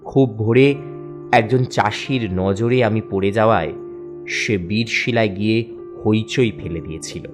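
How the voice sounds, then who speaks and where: A man with a fairly low voice narrates a cartoon ghost story in the first person, measured and steady. Faint music plays underneath. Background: faint background music.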